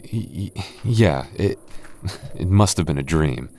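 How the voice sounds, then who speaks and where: Man, young adult, very low voice, boyfriend roleplay, teasing, stammers when nervous. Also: mellow. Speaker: voice actor playing a goth boyfriend.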